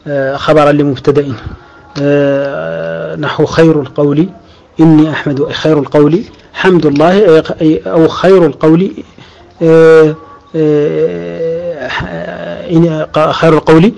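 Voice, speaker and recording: A man teaching Arabic grammar, explaining slowly with drawn-out pauses and restarts, and reciting quoted verses. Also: a little high, little pitch movement, creaky, a little mellow, rough recording. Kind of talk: lecture explaining a grammar text.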